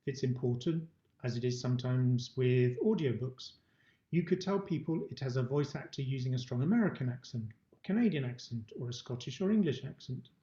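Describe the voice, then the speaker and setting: Mellow middle-aged man presenting, roomy sound. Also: medium pitch, expressive, fairly quick. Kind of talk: prepared instructional webinar presentation. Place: indoor room. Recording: dull, roomy webcam-style recording.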